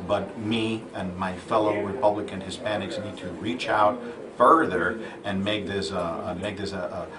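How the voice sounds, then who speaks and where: A man's voice: on the low side, steady tone, unhurried, reverberant, far from the mic, a crowd in the background. Earnest man over a crowded convention floor. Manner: earnest, repeats words while searching for phrasing. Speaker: congressional candidate. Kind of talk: conversational interview answers. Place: busy convention hall.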